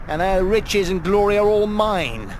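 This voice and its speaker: An actor playing a greedy, menacing villain in a video game, mocking and taunting in a lecherous way. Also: male voice, sits high, slight background noise. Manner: taunting, mocking, with sneering pet names.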